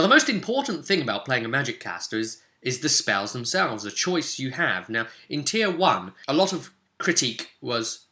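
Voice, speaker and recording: A man's voice: mid-range voice, pushed and bright. A man presenting a guide in an informal, explanatory way, chatty and given to tossing in rhetorical questions. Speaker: presenter of a tabletop game guide.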